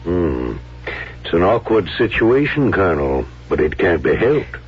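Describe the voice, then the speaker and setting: An actor playing a cautious, weary older president in a radio drama, deliberate and statesmanlike, with a rough husky voice. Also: male voice, sits low, very animated, heavily acted. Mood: wary. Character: weary, cautious older male Confederate president weighing risks. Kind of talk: 1970s radio drama acting. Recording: muffled vintage radio recording.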